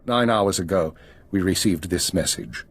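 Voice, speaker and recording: Grave game spymaster, very low husky voice, measured, coldly pragmatic. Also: male voice. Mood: businesslike. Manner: measured, formal, coolly pragmatic. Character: grave, calculating male spymaster turned intelligence minister. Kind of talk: dramatic game dialogue.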